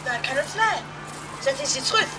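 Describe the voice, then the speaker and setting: A man's voice: extremely high pitch, lively intonation, moderate pace, heavy background noise. A young man playing an eager French valet with a put-on French accent, on an outdoor stage heard from a distance. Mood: pleading. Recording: distant stage mic, open-air theatre.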